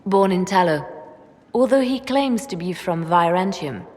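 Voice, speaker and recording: A guarded fantasy-game spymaster, with a low female voice in a long, ringing reverb. She speaks coolly and deliberately, weighing each phrase with a brooding reserve. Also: lively intonation, a little mellow. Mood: cool, calculating. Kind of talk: video game dramatic acting.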